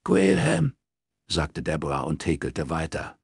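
A man reads crime fiction as a scripted audiobook. His voice is deep and creaky, captured in a dry, close recording. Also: moderate pace, dry recording. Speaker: audiobook narrator. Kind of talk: scripted crime novel narration.

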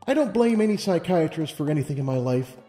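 A man's voice: a little high, lively intonation, fast talker, mellow, voice pushed up, lightly acted. A man voicing a young female unicorn who is skeptical, blunt and questioning. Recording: long hall reverb over music.

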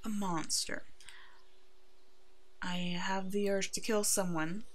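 A woman voicing a brave, protective werewolf in an ASMR roleplay, soothing and halting, with a soft, hushed delivery. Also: mid-range voice, fairly even intonation, lightly acted. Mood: tender, protective.

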